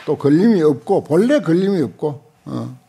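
An older man lectures as a Buddhist monk. His voice is husky and mellow, and he talks informally, pausing often and dropping in short interjections as he explains a text into a desk microphone. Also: a little high, sing-song. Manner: halting, conversational, with frequent pauses and short interjections. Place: lecture room at a temple center, seated at a desk. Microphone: desk gooseneck microphones close in front.

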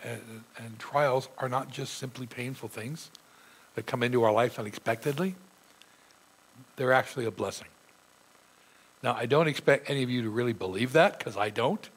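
Man, middle-aged, pastor, husky voice, sore throat, earnest sermon, church sanctuary, pulpit mic. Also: mid-range voice, poor audio. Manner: earnest preaching, reading scripture aloud with emphasis.

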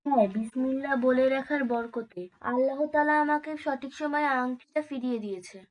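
A woman's voice: high-pitched, a little fast. A woman playing a sweet, obedient young daughter in a moral cartoon, speaking politely and devoutly. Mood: calm, sincere. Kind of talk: Islamic moral cartoon voice acting.

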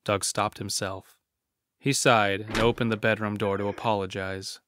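Low-pitched male horror narrator. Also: flat delivery, moderate pace, fairly bright tone, a little hiss or hum. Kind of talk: scripted horror story narration. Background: music.

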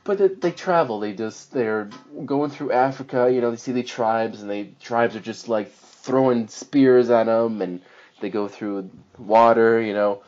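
A man's voice: medium pitch, measured pace. A man co-hosting a film podcast, rambling casually through a movie recap. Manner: rambling, animated, slips into silly put-on voices for characters. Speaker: podcast co-host reviewing films. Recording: dull lo-fi podcast audio.

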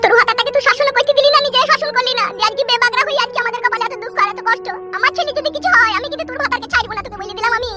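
A man's voice: very high-pitched, racing, few pauses, music in the background. A man voicing a bitter, dramatic old mother-in-law in a comedy cartoon, wailing and cursing in a rural West Bengal accent. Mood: wailing, scolding.

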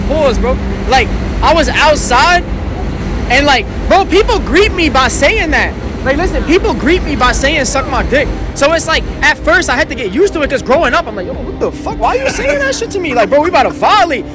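A man, talking in brash, repetitive slang in a casual street interview. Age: 20s. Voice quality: high-pitched. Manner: slangy, brash, repetitive, animated hand-talker. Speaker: interview guest, rapper and comedian. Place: outdoors in a city park with people around. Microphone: phone held at chest by interviewer.

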